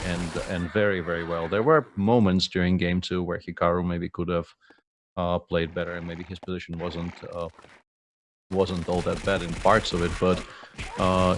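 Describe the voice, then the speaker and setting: A man's voice: low voice, slight background noise. A male commentator summing up games in a hesitant, analytical way with many fillers.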